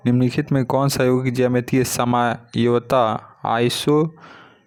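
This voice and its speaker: A man with a medium-pitched voice teaches for a competitive exam. He reads each practice question aloud in a methodical way. Then he states the correct option and moves on. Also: level tone, measured pace, darkish tone, smooth. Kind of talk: reading practice questions and giving answers.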